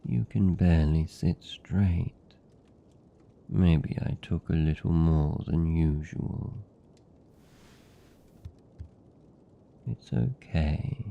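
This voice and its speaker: A man voicing a possessive, doting vampire captor in an intimate ASMR roleplay, with a British accent and a slow, murmured, coaxing delivery. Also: creaky, warm tone, full character voice. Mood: concerned, teasing. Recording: muffled, rumbly, faint background noise.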